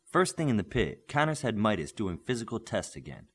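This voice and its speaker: Deep-voiced man reading with lively pitch. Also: a little fast, slightly rough. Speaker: audiobook reader. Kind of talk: scripted narration of a superhero novel.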